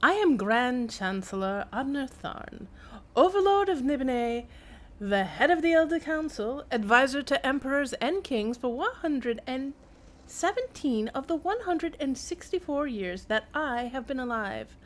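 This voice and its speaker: A woman's voice: sits high, animated, a little slow. A woman voicing a pompous old imperial chancellor, declaiming his journal aloud from a fantasy game. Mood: self-important. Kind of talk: dramatic read-aloud of in-game text.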